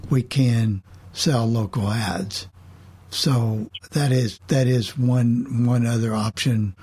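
A man hosting an informal group discussion in a measured, matter-of-fact way. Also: mid-range voice, fairly even intonation, darkish tone, husky. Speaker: host, radio station operator.

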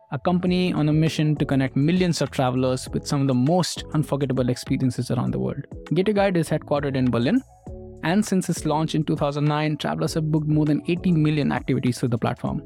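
Gravelly-voiced male podcast host. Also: on the high side, warm tone. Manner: polished, promotional delivery. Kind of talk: scripted podcast intro and interview questions.

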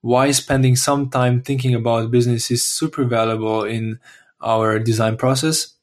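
A man with a middle-pitched voice hosts a design podcast. He introduces the episode and asks his guest questions in a friendly way, now and then pausing on an uh or an um. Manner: friendly, slightly hesitant with uh and um. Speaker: podcast host.

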